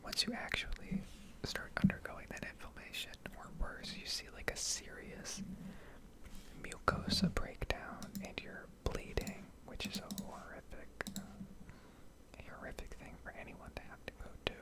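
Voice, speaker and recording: A woman whispering an unscripted ASMR ramble in a reflective, hesitant way with trailing pauses. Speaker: ASMR video creator rambling over gameplay.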